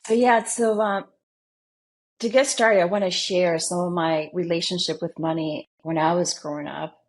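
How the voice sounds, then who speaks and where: A woman from the Dominican Republic, a financial planner, telling her own story in a measured, reflective way as an interview guest. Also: on the low side, fairly even intonation.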